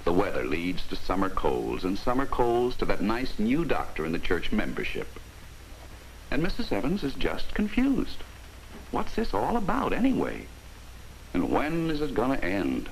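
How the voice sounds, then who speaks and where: A man's voice: on the low side, huge pitch swings, normal speed, gravelly, rough recording. An American man narrating a 1950s training film in a wry, folksy, emphatic way. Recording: hissy 1950s film soundtrack.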